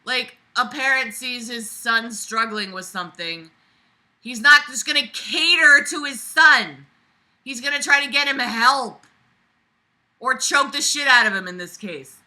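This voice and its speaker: Sharp, exasperated reader with a blunt mouth. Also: mid-range voice, a little slow, poor audio. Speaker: reader reacting to a book. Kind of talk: reading aloud with sarcastic running commentary.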